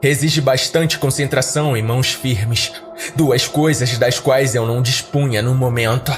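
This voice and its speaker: A man's voice: medium pitch, steady tone, measured pace. A man with a Brazilian accent, a podcast host, giving a dramatic scripted first-person horror narration. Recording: background music under the voice. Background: music.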